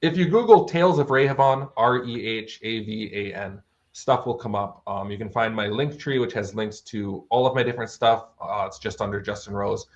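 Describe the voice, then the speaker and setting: Guy with a lowish voice, informal explaining, kinda dull lo-fi sound. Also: moderate pace. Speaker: interview guest, fantasy author. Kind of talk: casual conversational advice.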